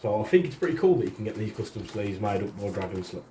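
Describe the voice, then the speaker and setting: A man with a nasal, raspy voice who is under the weather, hosting and casually explaining a tabletop game. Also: fairly low pitch, animated, fast talker, mellow, slight background noise. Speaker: host presenting a tabletop battle report. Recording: roomy, distant mic.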